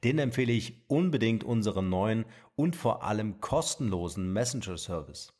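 Male host, fairly low voice, friendly outro talking straight to the audience. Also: animated, normal speed, darkish tone. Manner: friendly, speaks straight to listeners. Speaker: podcast host. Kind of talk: sign-off announcement.

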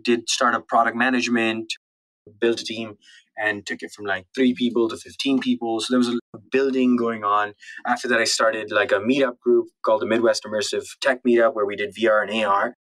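A man's voice: mid-range voice, a bit bright, low-quality recording. A man, talking casually as a podcast guest and acting out his thoughts as quotes. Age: late 20s, turning thirty. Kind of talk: casual interview talk.

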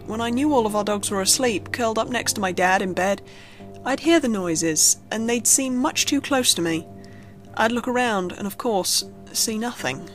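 A woman reading a scripted horror story as a narrator, telling it expressively. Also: on the high side. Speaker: creepypasta narrator. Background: background music.

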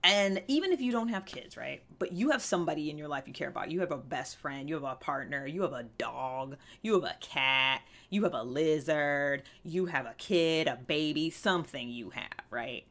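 Woman, thirties, slightly low voice, earnest vlog host, emphatic, candid self-help talk. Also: expressive, normal speed, few pauses, a bit bright. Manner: earnest, conversational, emphatic with frequent check-in questions. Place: small indoor room with fabric backdrop.

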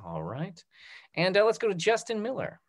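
A man in his thirties moderating a talk-back on a video call in a casual, halting way, often repeating his words. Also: sits high, wildly swooping pitch. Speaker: host of a theatre talk-back.

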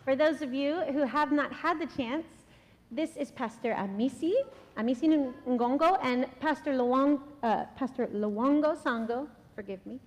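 High-voiced woman pastor in a reverberant church. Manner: warm, laughs often between sentences. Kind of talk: welcome and announcements at a church service. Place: large church sanctuary. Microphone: stand microphone at a lectern.

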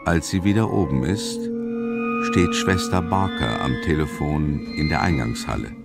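A grave storyteller narrates a suspense radio drama. His voice is extremely low and gravelly, with clear music behind it. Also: moderate pace. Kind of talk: scripted radio play narration.

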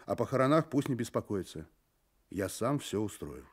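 An actor playing a cool, brisk, commanding male private detective in a radio drama, with a husky voice. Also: on the low side, big pitch swings.

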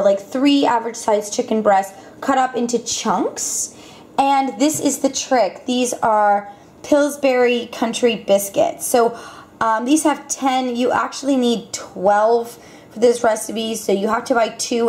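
A young woman casually walking through a home recipe at her stove, talking with lots of ums and quick self-corrections. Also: on the high side, a little mellow. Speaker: cooking vlogger. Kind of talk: casual cooking demonstration. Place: home kitchen. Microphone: camera mic, filming over the stove. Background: clinking pots and utensils.

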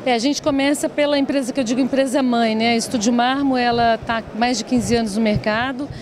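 A woman's voice: a little high, steady tone, fairly quick, noisy background. A Brazilian woman in her forties explaining her design work in an interview, as a company director. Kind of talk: promotional trade fair interview. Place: open stand in a large indoor exhibition hall. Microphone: handheld reporter microphone held by interviewer. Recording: busy trade fair crowd behind. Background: crowd chatter of a trade fair.

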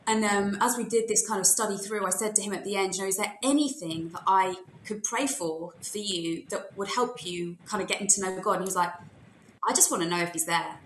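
A woman's voice: mid-range voice, fairly quick. Earnest woman youth worker on echoey webcam. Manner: earnest, thoughtful, recounts conversations. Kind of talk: video call panel discussion. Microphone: video call webcam. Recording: echoey room with faint noise.

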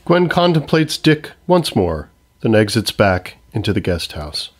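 A man's voice: on the low side, big pitch swings, unhurried. A man giving scripted narration, reading out stage directions for an audio comedy.

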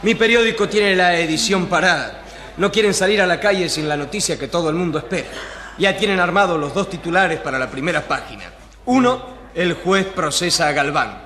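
A man's voice: high-pitched, lively intonation, a little fast, pushed and bright, a little hiss or hum. A man acting a well-informed newspaper journalist who explains things in a conversational radio drama. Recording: reverberant hall sound.

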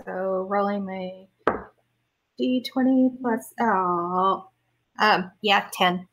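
A woman's voice: medium pitch, very slow, a bit bright, rough recording. A woman playing a tabletop game over a video call, playful and fond of silly sound effects. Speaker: player.

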